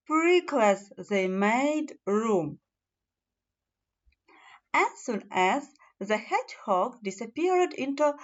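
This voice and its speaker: Female storybook reader for fairy tales: animated intonation, unhurried pace, slightly muffled lo-fi sound. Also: fairly high pitch. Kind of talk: children's fairy tale narration.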